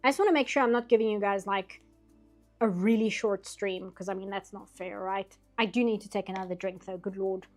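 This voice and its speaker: A woman from Britain chatting casually while drawing on a stream, rambling and laughing often, her voice getting a bit hoarse late at night. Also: mid-range voice, fairly even intonation, fairly quick, a little mellow, soft background music.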